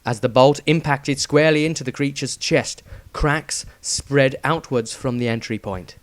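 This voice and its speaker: A man narrates a fantasy adventure from a script in a dramatic storytelling style. His pitch is middling for a man and the recording is dry, with little room sound. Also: no room sound.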